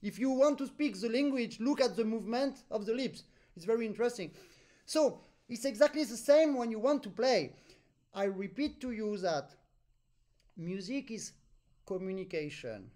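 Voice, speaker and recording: A man with a French accent, a native French speaker, teaching an accordion master class over a video call, animated and pausing deliberately between phrases. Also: sits high. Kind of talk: online master class instruction.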